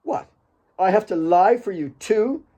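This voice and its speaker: A man's voice: sits high, animated, measured pace, dark tone, breathy edge, pitched above the performer's own voice, exaggerated voice. A man voicing a spirited, teasing young woman in an audiobook, playfully bossy and affectionate. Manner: playful, commanding.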